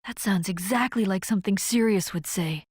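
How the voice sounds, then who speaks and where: A woman voicing a spirited, stubborn young female fighter in a game, bold and energetic in how she talks. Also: mid-range voice, normal speed, breathy edge, no echo, studio quality, lightly acted. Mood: calm. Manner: bold, energetic, a little shy when asking.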